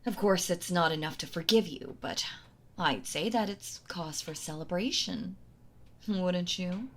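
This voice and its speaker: A woman playing a possessive, sweetly cruel yandere wife in an intimate roleplay audio drama, gloating and mock-tender as she toys with her captive. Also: on the low side. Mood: mockingly cheerful. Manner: slow taunting delivery, breathy soft laughs, mock-tender.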